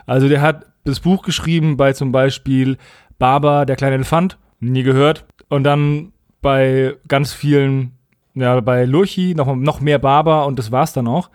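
A man's voice: medium pitch, fairly even intonation, measured pace, a little mellow, dry recording, clean studio sound. A man co-hosting a podcast in casual, colloquial talk, giving frank opinions. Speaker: podcast co-host.

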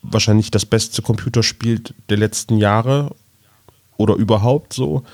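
A man's voice: on the low side, normal speed, darkish tone, no echo. A man chatting casually as a podcast host about fantasy stories. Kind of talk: casual fan chat about fantasy audio dramas.

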